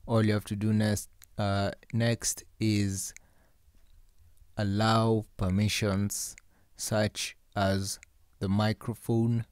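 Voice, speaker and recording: A man presents a step-by-step how-to guide. His voice sits a little low and he speaks slowly, pacing out each instruction. The sound is dry, with very little room in it. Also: darkish tone, no echo. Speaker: tutorial host. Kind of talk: step-by-step app tutorial narration.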